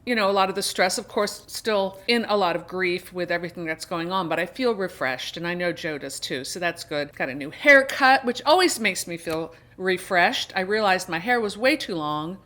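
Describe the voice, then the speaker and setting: A middle-aged woman speaks in a voice that sits a little low for a woman. She talks casually to her viewers as the host of a book vlog. Her delivery is chatty and rambling, with emphatic stresses on the words she cares about. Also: animated, moderate pace, few pauses, fairly bright tone. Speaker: book reviewer and video host.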